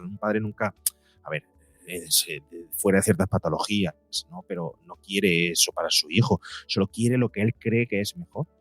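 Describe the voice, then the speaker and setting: A man's voice: lowish voice, no echo. A man speaking as an interview guest and psychologist, explaining in a conversational way and voicing imagined quotes to illustrate his points.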